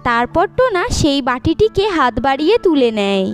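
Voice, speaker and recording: High, lively woman storyteller. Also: a little fast, soft background music. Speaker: storyteller and channel narrator. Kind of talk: scripted children's story narration.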